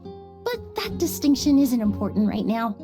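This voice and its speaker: A woman playing a composed, knowledgeable perfumer in a video game, explaining in a measured, precise, assured way. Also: fairly high pitch, big pitch swings, music in the background. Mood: calm, precise. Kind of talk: video game cutscene acting.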